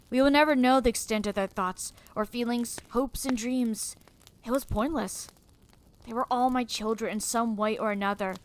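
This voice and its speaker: A woman's voice: a little high, lightly acted. A woman performing a sombre, regretful teacher who narrates a dramatic first-person story. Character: sombre, regretful teacher haunted by lost students.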